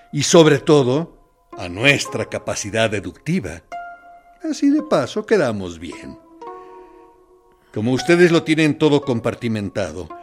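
A military interrogator in a radio drama, coaxing and threatening in a theatrical way. His pitch moves widely as he persuades with false kindness and underlying menace. Music plays faintly behind him.

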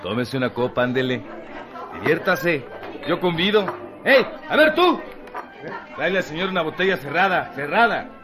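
A commanding, generous cantina boss hands out invitations and orders. His fairly high, lively voice rises over music and a busy crowd. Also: normal speed, long pauses. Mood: cordial, commanding. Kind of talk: radio drama acting. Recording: music and crowd noise behind.

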